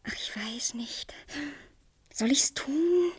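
A woman's voice: high voice, animated, slow pace. An actress playing a naive, girlish young fairy-tale princess in a radio play, earnest, exclamatory and easily tempted. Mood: hesitant, longing.

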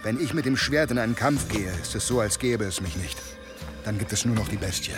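An actor in a radio play voicing a weary, repentant medieval warrior, grave and resigned in measured phrases. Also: male voice, fairly low pitch, music in the background, natural voice. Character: weary, repentant medieval berserker warrior sworn against weapons.

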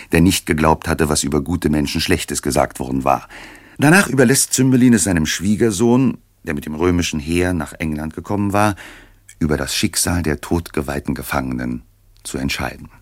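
Very deep-voiced male storyteller. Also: dry recording, studio quality. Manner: measured, expressive storyteller. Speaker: narrator. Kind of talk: scripted storytelling narration for radio drama.

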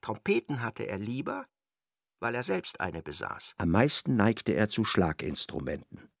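A man narrating a literary radio play in a dramatic, expressive, scripted style. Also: on the low side, measured pace, no echo, studio quality.

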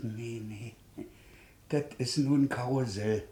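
An actor in a 1950s German radio play playing a stubborn, desperate, jobless ex-convict shoemaker, plaintive and colloquial. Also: male voice, mid-range voice, slow pace, dark tone, lightly acted. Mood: pleading, weary. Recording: roomy early 1950s radio studio.

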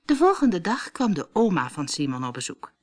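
A woman narrating a scripted children's story in an expressive storytelling manner. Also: lowish voice, moderate pace. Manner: expressive storytelling, acts out dialogue. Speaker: audiobook narrator.

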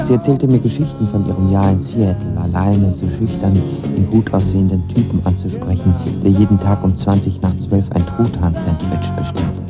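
A man's voice: sits low, muffled recording, natural voice. An actor playing a lovestruck, insecure young man in an intimate radio drama, speaking in an earnest, confessional manner. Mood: wistful, confessional. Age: young adult. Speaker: lead actor and narrator.

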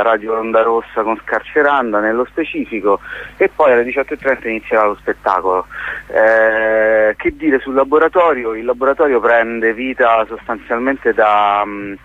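A man giving an informal radio interview as a theatre organiser, explaining events in a halting, hesitant way. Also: lowish voice. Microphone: phone call. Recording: tinny, narrow phone line on radio.

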